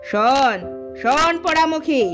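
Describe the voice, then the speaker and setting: A woman playing a cruel, sharp-tongued stepmother in a cartoon, nagging and two-faced. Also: high-pitched, unhurried, music in the background. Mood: scolding, bossy. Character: cruel scolding stepmother, falsely sweet before her husband. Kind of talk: Bengali cartoon voice acting.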